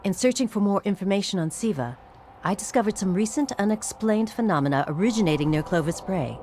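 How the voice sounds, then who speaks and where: An older woman acting as a learned scholar in a cinematic game, composed and precise with gentle dryness. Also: medium pitch, animated, fairly quick, slight background noise, close to an everyday voice. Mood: calm, informative. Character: learned older female scholar, calm and dryly wise.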